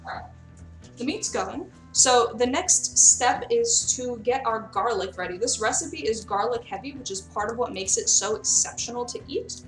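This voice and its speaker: A young woman cooks live and talks easily with her viewers, answering their messages as she goes. Her voice sits a little high. It sounds roomy and off-mic, with faint music behind her. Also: moderate pace, far from the mic. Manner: chatty, reads out and answers chat. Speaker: streamer cooking live. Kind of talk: casual live-stream chat. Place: kitchen in a friend's house.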